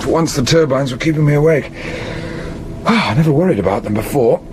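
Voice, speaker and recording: A man's voice: animated, brisk, lightly acted. An actor playing a flirtatious, self-assured man on a sea voyage in a 1980s radio drama, with teasing, wry delivery. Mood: relaxed banter. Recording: noisy radio drama with engine rumble.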